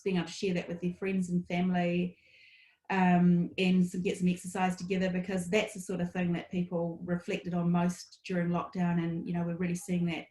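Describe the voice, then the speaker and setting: A woman's voice: fairly low pitch, a little mellow. A woman giving an upbeat, chatty business update over a video call, with frequent ums. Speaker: ski resort general manager. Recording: roomy echo on a video call.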